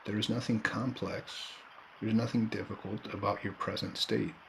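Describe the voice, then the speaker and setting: A man leading a guided meditation in a calm, unhurried manner, leaving long pauses between short phrases. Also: fairly low pitch, creaky, a little hiss or hum, low-quality recording. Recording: background nature ambience and birdsong. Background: nature and bird sounds.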